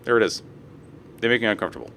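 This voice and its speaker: A man's voice: on the low side, animated, racing, pushed and bright, slight background noise. A young man streaming live, reading posts aloud and ranting about them with plenty of swearing. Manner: profane, ranting, reads posts aloud with asides.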